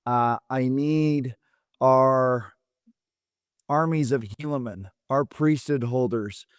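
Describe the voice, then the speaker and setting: A man hosting a podcast interview, talking in a relaxed, rambling way with fillers while his voice is wearing out. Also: medium pitch, takes it slowly, clean tone, no room sound, clean studio sound. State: losing his voice. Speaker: podcast host. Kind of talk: conversational interview.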